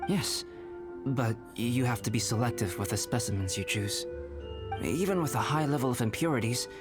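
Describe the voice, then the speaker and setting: A man voicing a calm, composed young male alchemist and painter who explains things in a measured way, in a video game cutscene. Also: medium pitch, lightly acted. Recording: game cutscene with background music.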